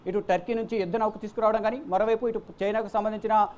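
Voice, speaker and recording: A woman presenting unscripted news commentary, repeating phrases and pausing on fillers as she goes. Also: medium pitch, steady tone, darkish tone.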